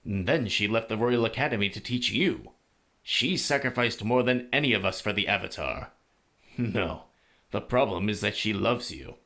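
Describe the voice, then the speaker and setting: An actor playing a calm, shrewd older male mentor in a visual novel, speaking in a measured, formal way. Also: on the low side. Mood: fond. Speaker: teacher. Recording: music behind the voice.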